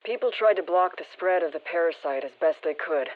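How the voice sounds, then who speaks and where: Restrained briefer over muffled radio. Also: female voice, on the low side, fairly quick. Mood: sober, informative. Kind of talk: scripted video game voice lines. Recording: muffled, band-limited radio transmission.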